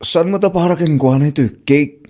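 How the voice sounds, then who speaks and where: A man's voice: a little high, fairly quick, mellow, lightly acted. A man with an Ilocano accent playing an exasperated in-law in a comedy drama. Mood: apologetic, explaining.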